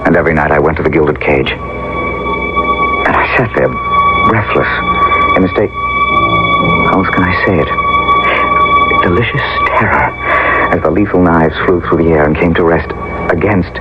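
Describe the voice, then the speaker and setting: Over loud music on a dull old radio recording, a shy man gripped by obsession. His very deep, swooping voice confesses haltingly and breathlessly, as in 1970s radio drama. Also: wildly swooping pitch. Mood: fascinated, hesitant.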